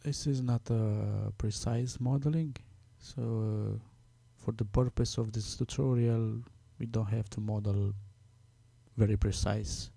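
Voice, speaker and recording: Slow, gravelly Romanian-accented man. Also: lowish voice, fairly even intonation, mellow, dry recording. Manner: halting, many fillers, thinking aloud while working. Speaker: software tutorial maker. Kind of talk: screen-recorded software tutorial.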